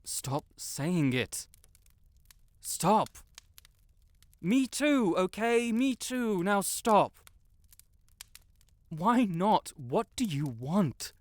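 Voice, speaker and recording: A man playing a proud, flustered young wizard in an intimate fantasy audio roleplay, halting and defensive yet earnest. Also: high-pitched, very animated, no echo, exaggerated voice. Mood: agitated, defensive. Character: proud, stuck-up young male wizard, flustered tsundere best friend in love.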